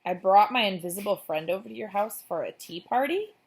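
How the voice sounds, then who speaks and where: A woman's voice: medium pitch, animated, fairly quick, slight background noise, natural voice. A woman voicing a young girl in a children's storybook, loyal and teasing, talking in a casual kid manner. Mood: probing.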